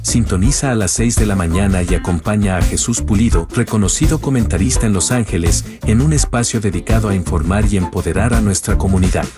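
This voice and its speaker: A man reading a scripted radio promo in a steady announcer's manner. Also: fairly low pitch, brisk, hardly any pauses. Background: loud music.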